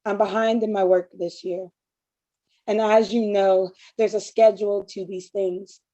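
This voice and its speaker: A woman with a worn, hoarse voice reads her poem slowly and calmly over a video call. Her tone is dark and mellow, and the sound is a bit dull and lo-fi. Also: medium pitch. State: voice going hoarse. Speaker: poet. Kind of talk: reading her own poem.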